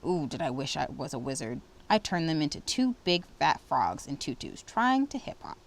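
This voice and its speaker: Female narrator for children's picture book, fairly low voice, dramatic delivery with lively swings in pitch. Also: moderate pace, vocal fry. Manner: animated, dramatic. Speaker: storybook reader. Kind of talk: expressive children's read-aloud.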